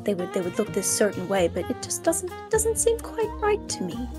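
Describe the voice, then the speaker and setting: A woman's voice: medium pitch, huge pitch swings, moderate pace, music in the background. Woman playing a stammering, courteous male scholar. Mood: quietly sceptical. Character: polite, nervous, chatty male scholar of arcane languages.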